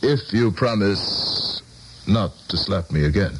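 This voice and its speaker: Deep-voiced, proud middle-aged politician. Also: male voice, lively intonation. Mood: wary, sardonic. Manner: formal, measured, exasperated diction. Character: proud middle-aged male politician, former teacher, wary and sardonic. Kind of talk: radio drama acting. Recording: noisy old radio broadcast recording.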